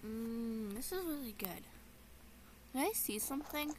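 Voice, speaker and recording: A woman's voice: fairly high pitch, lively intonation, a little slow, noisy background. A woman host talking casually in a game roleplay, chatty and rambling with plenty of ums. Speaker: channel host voicing her own roleplay character.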